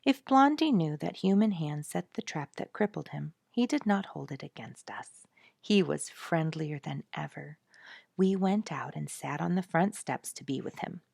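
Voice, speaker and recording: A woman reading a story as a scripted audiobook narrator, with expressive delivery. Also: low-pitched, normal speed, vocal fry, no echo, clean studio sound.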